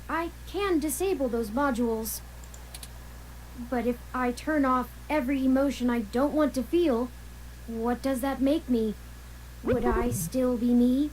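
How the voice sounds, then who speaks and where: A woman voicing a young robot companion who grieves and seethes with anger, speaking in earnest, precise phrases with halting, pained pauses. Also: high voice, darkish tone, a little hiss or hum, low-quality recording. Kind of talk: video game voice acting.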